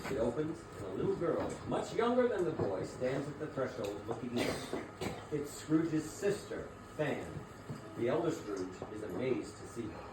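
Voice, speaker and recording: A man's voice: medium pitch, very animated, moderate pace, noisy background. A man narrating a scripted story as a dramatic reading. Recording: very echoey hall, distant mic, music behind.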